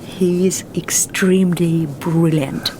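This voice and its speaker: An actress with a Russian accent playing a guarded, earnest young Russian woman in a film drama who speaks in a formal, measured and reserved way. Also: lowish voice, fairly even intonation, background noise.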